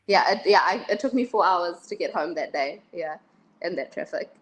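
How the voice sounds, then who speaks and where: A woman's voice: fairly low pitch, expressive, normal speed, poor audio. A woman chatting casually as an interview guest on a video call. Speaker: guest, pageant candidate. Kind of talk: casual conversation. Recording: video call with faint background noise.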